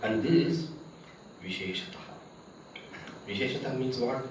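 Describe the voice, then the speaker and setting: Man, native Tamil speaker, spiritual teacher, rhetorical questions, recited verses, mid-pitched voice, very echoey, crickets behind. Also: animated, normal speed, far from the mic, noisy background. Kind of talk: spiritual discourse to a camp audience.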